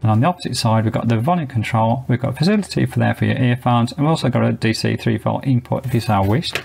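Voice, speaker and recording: A man's voice: medium pitch, fast, vocal fry, a little mellow, slight background noise. A man reviewing a small shortwave radio, explaining each feature and step methodically as host of his own channel. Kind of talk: product review walkthrough. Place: indoors.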